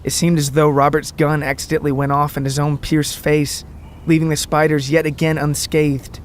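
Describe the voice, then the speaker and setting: A man's voice: on the high side, flat delivery, normal speed, slight background noise. A man reading scripted fiction as the narrator of an audio story.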